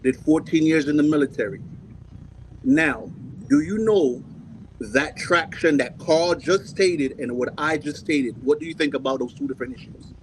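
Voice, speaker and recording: Forceful man in his forties, host. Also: fairly high pitch, normal speed, slight background noise, poor audio. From Jamaica. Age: 40s. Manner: forceful, keeps cutting in with "hold on" and repeats himself. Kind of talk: heated panel debate.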